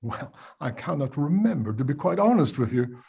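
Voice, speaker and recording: Man acting stubborn witness, guarded, raspy, animated intonation, courtroom play read-through. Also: mid-range voice, normal speed, exaggerated voice. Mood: evasive, stubborn. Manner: guarded, evasive. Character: defensive, stubborn male boat company witness.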